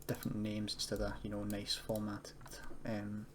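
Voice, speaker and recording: A man's voice: fairly low pitch, completely flat delivery, normal speed. A young man giving a casual, unscripted coding tutorial, explaining in a hesitant, self-correcting way. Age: young adult. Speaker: tutorial presenter, university student. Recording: clear background noise, keyboard typing. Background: computer keyboard typing and noise.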